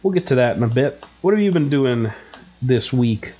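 A man's voice: mid-range voice, lively intonation, moderate pace, dark tone, muffled recording. A man with a head cold and a nasal, stuffed-up voice, co-hosting a podcast in casual, rambling chat full of fillers. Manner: rambling, self-mocking, many fillers. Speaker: podcast co-host.

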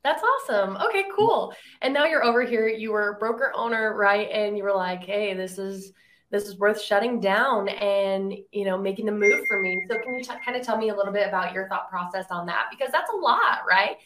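A woman's voice: medium pitch, brisk, background noise. A woman from Tennessee hosting a podcast, upbeat and chatty, with a playful teasing edge. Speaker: podcast host. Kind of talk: podcast interview.

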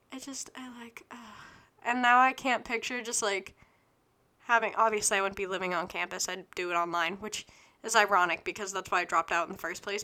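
A woman's voice: mid-range voice, sharp tone. A woman in her early twenties hosting a solo podcast, chatting in a scattered, self-interrupting way and losing her train of thought. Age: early 20s. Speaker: podcast host. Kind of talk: rambling solo podcast chat.

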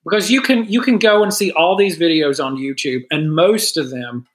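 A middle-aged man co-hosting a podcast over a video call, talking earnestly in casual chat with a hesitant, halting delivery. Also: fairly high pitch. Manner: earnest, hesitant with many uh fillers and restarts. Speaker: podcast co-host.

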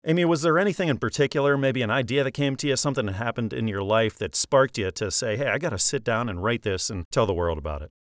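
A man's voice: medium pitch, animated, no room sound. Polished male podcast host, dry studio. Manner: polished radio-style delivery, drawn-out listing. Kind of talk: interview host, scripted intro.

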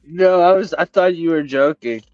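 A man's voice: a little high, moderate pace, a little mellow, clean tone, no room sound. A man co-hosting a live stream, chatting casually in a jokey way and laughing often. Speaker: co-host. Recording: dry, dull lo-fi sound.

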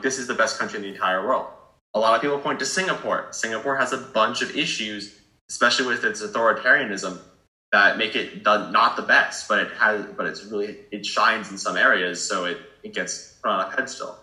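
Teen boy, panelist, slightly low voice, bright, reflective and hedging, echoey room. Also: a little fast. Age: teens. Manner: thoughtful, hedging with fillers like "like". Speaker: discussion participant. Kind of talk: casual group discussion.

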